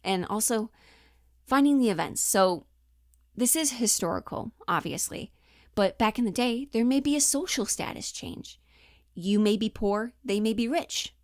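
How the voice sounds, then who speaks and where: A woman's voice: fairly high pitch, unhurried, no room sound, clean studio sound. A woman giving a casual, explanatory acting lesson, chatty and informal, often thinking aloud as she goes. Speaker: acting coach.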